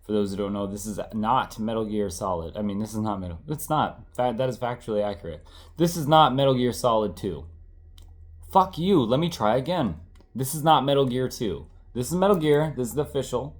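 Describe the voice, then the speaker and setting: A man's voice: medium pitch, animated, a little fast. A man in his thirties, congested and tired, chatting casually and rambling as he shows off his old game collection, correcting himself and swearing now and then.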